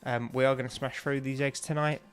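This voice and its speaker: A man's voice: medium pitch, flat delivery, fairly quick, soft background music. A young man with a stuffy, bunged-up nose, chatting casually and rambling with lots of ums while he streams a game. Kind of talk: casual live-stream chat while playing.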